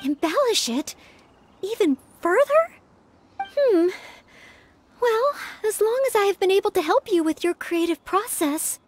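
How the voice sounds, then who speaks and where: A woman's voice: extremely high pitch, big pitch swings, measured pace, long pauses, exaggerated voice. An actress voicing an earnest young maid-knight girl in a video game, polite, dutiful and eager to help. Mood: hesitant, polite. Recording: music under the voice.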